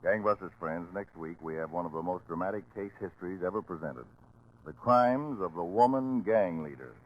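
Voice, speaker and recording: A man's voice: sits low, expressive, moderate pace, dull audio. A man reading formal scripted narration as presenter of a 1940s radio crime show. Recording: faintly noisy 1940s radio recording.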